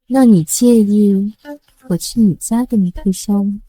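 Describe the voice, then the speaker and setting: Woman voicing a slow, mellow anime seductress. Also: medium pitch, no room sound, faint music behind, lightly acted, rough recording. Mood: flirty. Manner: coy, teasing. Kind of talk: animated drama acting.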